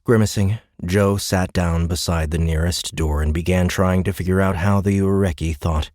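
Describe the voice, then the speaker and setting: A man's voice: low voice, steady tone, moderate pace, a little mellow, dry recording, clean studio sound. A man reading scripted narration of a science fiction novel as an audiobook narrator. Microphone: close studio microphone.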